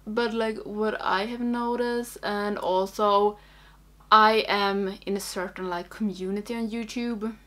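Slow-paced young Swedish woman, casual vlogger. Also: medium pitch, fairly even intonation, a bit bright. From Sweden. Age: young adult. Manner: hesitant, halting, thinking aloud. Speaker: makeup video creator. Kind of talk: casual chatty get-ready-with-me vlog. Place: small indoor room.

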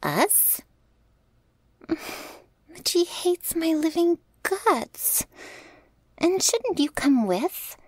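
A woman's voice: very high voice, big pitch swings, drawn-out words, pauses often, a little mellow, dry recording, studio quality, exaggerated voice. A woman playing a vampire's young servant, anxious and loyal, in a casual, questioning audio roleplay. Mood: doubtful. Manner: hesitant, colloquial, questioning.

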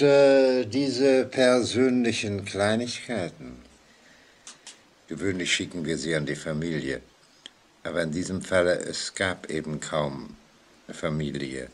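An actor playing a composed, authoritative prison inspector in a vintage German radio play, formal, deliberate and patiently firm. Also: male voice, sits low, animated, heavily acted. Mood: measured, gentle.

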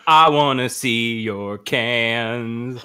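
A man's voice: medium pitch, drawn-out words, pushed and bright, clear, resonant tone. A man reading comic material aloud in a mock-earnest way, mixed with casual banter. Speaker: podcast panelist reading documents aloud.

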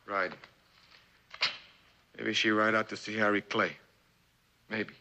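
An actor playing a boastful, menacing whiskey smuggler, speaking emphatic broken English in a television crime drama. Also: male voice, lowish voice, level tone, slow pace, pushed and bright, full character voice. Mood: sly, insinuating. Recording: noisy old TV soundtrack.

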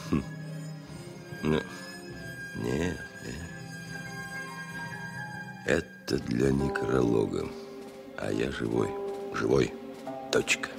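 A seasoned male pilot thinks aloud in a radio drama. His voice is very deep and creaky, and he speaks slowly and stubbornly, steadying his own nerves. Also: heavily acted. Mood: grim, firm. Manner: deliberate, musing, self-talking. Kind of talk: 1970 radio play monologue.

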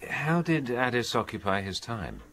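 A man playing a sceptical, sardonic gentleman in a radio drama, with a clipped, superior manner. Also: mid-range voice, very animated, moderate pace.

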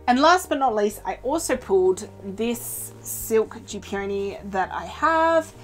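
A young woman chatting casually in an upbeat show-and-tell way, filled with little fillers and playful pitch jumps, recorded in a small sewing room. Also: expressive, slow pace, soft background music, low-quality recording. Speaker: cosplay vlogger. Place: small indoor sewing room with fabric shelves. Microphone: camera in front at desk distance.

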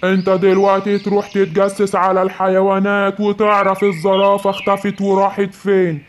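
A man's voice: sits high, monotone, brisk, lightly acted. A man voicing an angry, vengeful lion king in Egyptian Arabic for a children's cartoon, scolding and commanding. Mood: threatening. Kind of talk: children's animated animal fable. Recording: muffled, noisy home recording.

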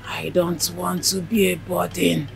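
An actress playing an elderly Nigerian grandmother near the end of her life, speaking slow, solemn blessings. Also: lowish voice, little pitch movement, gravelly, slight background noise. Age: older. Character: elderly village grandmother, gentle, near death.

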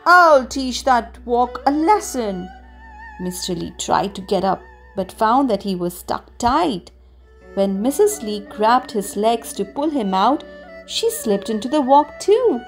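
A woman narrating a scripted children's story with expressive, deliberate phrasing. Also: mid-range voice. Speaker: storybook reader. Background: music.

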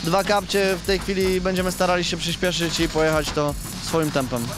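A man with a high voice speaks in an even, flat tone, cautiously weighing his words and stopping often on fillers. He talks into a handheld mic at an outdoor rally service park, with music clearly underneath. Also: fairly quick. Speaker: rally driver. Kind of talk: post-stage interview. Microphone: handheld reporter mic.

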